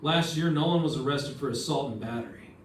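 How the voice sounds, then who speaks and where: A man's voice: a little high, fairly quick, lightly acted. A man playing a terse, hard-boiled investigator in an old-time radio detective drama. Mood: pressing. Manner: terse, pointed. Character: hard-boiled male investigator narrating and questioning suspects. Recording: echoey room, distant mic, music.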